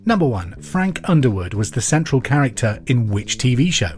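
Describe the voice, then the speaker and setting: A man presenting a podcast pub quiz in a scripted, clear and deliberate way. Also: mid-range voice, very animated, a little mellow, soft background music. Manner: repeats questions clearly and deliberately.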